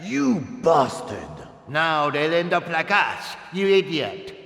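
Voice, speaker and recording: A gruff, scornful man who bullies and commands. His slow, gravelly voice swoops dramatically in pitch. It echoes through a long hall in this TV drama. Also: expressive, a bit bright, raised voice, exaggerated voice. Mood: furious. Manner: insulting, commanding. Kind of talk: TV drama acting.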